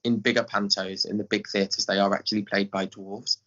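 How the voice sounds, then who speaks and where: Bright, chatty English man, lower-pitched. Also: flat delivery, a little fast. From Skegness, England. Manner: chatty, informal phrasing. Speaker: podcast guest, theatre director. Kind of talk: casual explanatory chat. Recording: dull, lo-fi audio.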